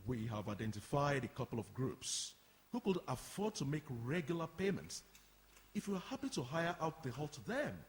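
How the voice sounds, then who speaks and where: A man's voice: on the high side, sing-song, normal speed. An actor playing a courteous, practical community centre man who explains things politely in a radio play. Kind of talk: radio drama acting before an audience. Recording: reverberant hall, faint background noise.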